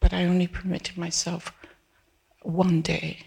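An older woman in her seventies from Peru speaks with a gravelly, very raspy voice that holds a flat, even pitch. She talks hesitantly, with soft restarts, as an audience member at a live event. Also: measured pace, low-quality recording. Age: 70s. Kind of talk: halting personal testimony.

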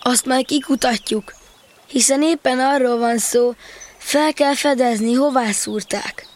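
Woman as a thoughtful little boy, high voice, earnest, children's audio play. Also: natural voice. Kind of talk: children's audio drama acting.

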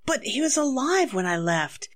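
Evasive wife, old radio melodrama, fairly high voice, very expressive, faltering. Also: female voice, normal speed. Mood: anxious, defensive. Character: anxious, evasive wife accused of murder. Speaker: actor in a radio drama. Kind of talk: 1940s radio acting.

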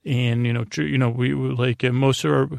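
A man hosting a sleep podcast, rambling in a meandering, halting, self-interrupting bedtime monologue with gentle, tentative reassurance. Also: medium pitch, flat delivery, creaky, no echo.

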